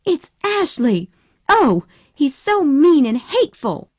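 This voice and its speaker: A woman voicing a headstrong, passionate young Southern woman in a storybook reading, high, urgent and emotional. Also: sing-song, a little slow, dry recording. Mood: upset, tearful.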